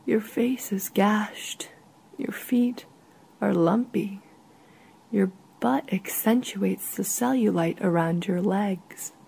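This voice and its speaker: A woman reading a literary short story in a soft, measured way, with a husky, breathy voice. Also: medium pitch.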